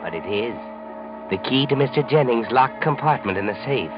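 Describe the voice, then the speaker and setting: An eerie, knowing mystery narrator acted by a man needles his subject in an insinuating, mid-pitched voice. It is vintage radio drama, muffled, with music clearly underneath. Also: expressive, fairly quick, natural voice. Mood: mocking, insinuating. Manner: insinuating, knowing, addresses the character directly. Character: sinister, mocking male omniscient narrator, needling a criminal.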